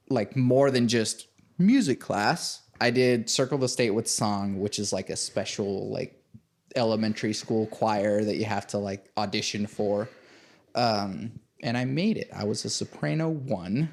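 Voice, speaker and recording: Rambling, lower-voiced man from Venezuela. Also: a little mellow. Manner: rambling, full of likes and fillers, laughs often. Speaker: composer and podcast host, interviewed as guest. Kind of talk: casual interview chat.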